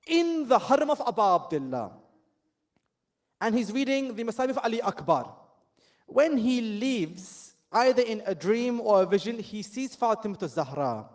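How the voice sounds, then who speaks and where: A man reciting a mournful religious sermon, telling the story with emotion that rises to anguished pleading. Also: extremely high pitch. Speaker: majlis reciter.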